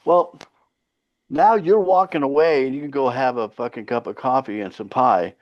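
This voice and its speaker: Man, born in Finland, first language Finland Swedish, mid pitch, casual storytelling, cursing, dull lo-fi sound. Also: normal speed. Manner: loose, profane storytelling. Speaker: singer, guest. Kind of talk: casual interview chat.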